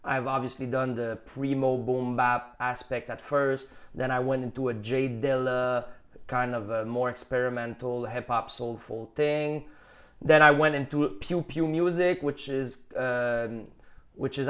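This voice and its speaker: A man from Quebec, a rapper with a Quebec French accent, talking in an interview and explaining at length in long, thoughtful runs. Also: medium pitch. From Quebec, Canada. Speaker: rapper and musician guest. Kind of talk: interview conversation.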